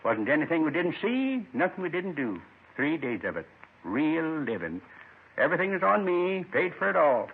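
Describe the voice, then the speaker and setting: Wistful old man, husky, muffled radio. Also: fairly high pitch, big pitch swings, moderate pace, exaggerated voice. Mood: proudly nostalgic. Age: 70s. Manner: wistful, folksy, rambling in short clipped phrases. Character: lonely, gentle 72-year-old man confessing a robbery. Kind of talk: 1950s radio drama acting. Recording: muffled 1950s radio recording.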